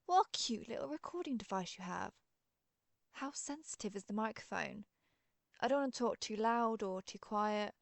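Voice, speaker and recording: A woman's voice: medium pitch, animated, normal speed, vocal fry, no room sound. A woman voicing a friendly, theatrical century-old vampire in an audio roleplay, chatty and playfully grand. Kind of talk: scripted audio roleplay monologue.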